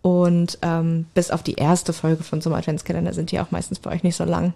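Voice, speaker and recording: A woman's voice: lowish voice, completely flat delivery, brisk, vocal fry, mellow. A woman speaking casually as a guest on a podcast. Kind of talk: casual chat.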